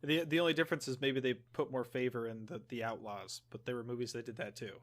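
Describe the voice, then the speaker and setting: A man's voice: mid-range voice, fairly even intonation, quick pace. A man co-hosting a podcast, chatting casually about games in an informal, rambling way. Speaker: podcast co-host.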